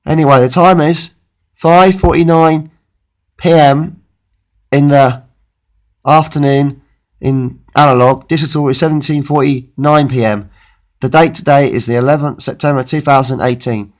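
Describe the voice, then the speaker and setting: A man of thirty-one, losing his voice with a cold, gives an informal, halting weather forecast commentary and often repeats himself. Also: on the high side, fairly even intonation. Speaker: amateur weather vlogger.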